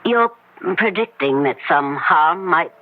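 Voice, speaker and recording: An actress playing a skeptical, inquisitive radio interviewer in a 1970s radio drama, poised and articulate. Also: female voice, sits low, expressive, takes it slowly. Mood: poised, probing. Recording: dull, hissy 1970s radio recording.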